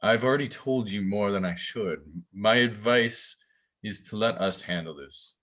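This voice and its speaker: Police investigator, male actor, low register, measured and official, careful with what he reveals. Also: fairly bright tone. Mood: guarded, serious. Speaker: actor at a table read. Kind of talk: scripted drama table read.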